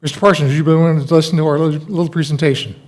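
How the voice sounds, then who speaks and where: A man's voice: on the high side, steady tone, rapid-fire, darkish tone. A man chairing a city commission meeting who is losing his voice, talking in an informal, chatty way. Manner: informal, chatty, self-deprecating. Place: city council chambers.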